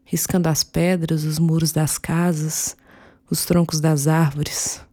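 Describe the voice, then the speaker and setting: Low, husky, ominous river spirit. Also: female voice, flat delivery, slow pace, mellow. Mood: ominous, hushed. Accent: Brazilian. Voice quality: husky, breathy. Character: mysterious, ominous spirit of the world's edge. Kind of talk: radio drama storytelling.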